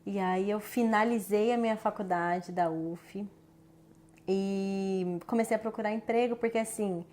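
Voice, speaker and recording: Lively Minas Gerais woman in an interview. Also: medium pitch, a little slow. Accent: southern Minas Gerais Brazilian Portuguese. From southern Minas Gerais, Brazil. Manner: lively, animated storytelling. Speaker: guest, actress. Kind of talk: casual interview.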